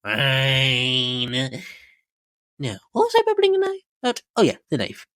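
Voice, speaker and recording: Cartoon lady unicorn, female reader: low, hugely varied delivery, affected airs, vain and theatrical, slightly mad. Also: wildly swooping pitch, takes it slowly, a bit bright, dry recording, studio quality, heavily acted. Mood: indignant, theatrical. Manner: affected, haughty, says darling. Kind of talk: dramatic fan reading of cartoon characters. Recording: music behind the voice.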